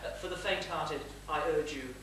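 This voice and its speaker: A man's voice: a little high, moderate pace, natural voice. A man playing a vain, suave seducer in a stage comedy, pompous and theatrical as he confides in the audience. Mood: smug. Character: smug, suave male seducer, vain gentleman narrator. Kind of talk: stage period comedy monologue. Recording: echoey theatre, distant mic, faint noise.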